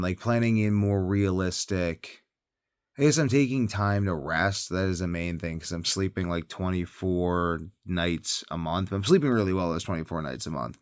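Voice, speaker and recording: A man's voice: deep voice, normal speed, smooth, no echo, clean studio sound. A tired man talking in a casual, rambling monologue as a health vlogger, hesitant and thinking aloud, often trailing off.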